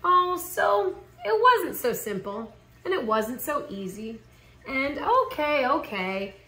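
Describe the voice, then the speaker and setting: Woman, children's read-aloud, slow pace, theatrical emphasis, swooping intonation, roomy living-room sound. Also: high-pitched. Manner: animated, theatrical, drawn-out emphasis. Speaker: teacher reading a picture book aloud. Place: living room at home, indoors. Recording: roomy home recording.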